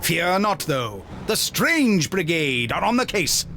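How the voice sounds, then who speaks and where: An actor playing a jaunty, pompous narrator in the arch style of a 1930s adventure serial, in a video game. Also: male voice, a little high, sing-song, slow pace, pushed and bright, a little hiss or hum, full character voice. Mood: grandly dramatic. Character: jaunty, theatrical male adventure narrator with old-fashioned pomp. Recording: music behind the voice. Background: game music.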